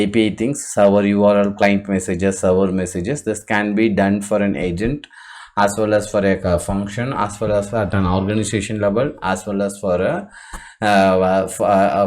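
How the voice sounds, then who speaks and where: A man presenting an unscripted software walkthrough, speaking haltingly and often repeating words and phrases as he goes. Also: fairly low pitch. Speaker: tutorial presenter.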